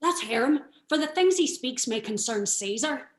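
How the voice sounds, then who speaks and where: High, animated soldier played by a woman. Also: normal speed. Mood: wary. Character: alert soldier on night watch. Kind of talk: theatrical Shakespearean radio play acting. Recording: roomy, echoey sound.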